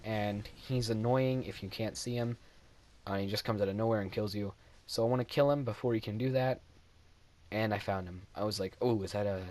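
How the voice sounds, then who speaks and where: A young man talks over his gameplay in a loose, rambling way, laughing at his own jokes and apologizing for them. His voice sits a little low, relaxed and self-deprecating. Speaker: gameplay commentator. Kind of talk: casual game commentary voice-over.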